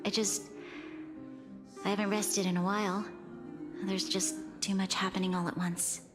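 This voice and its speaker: Game role: composed, earnest young woman ruler, female actor, mid-pitched, carrying heavy duty, echoing hall. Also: flat delivery, normal speed, over music, close to an everyday voice. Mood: weary, worried. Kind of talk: video game voice acting.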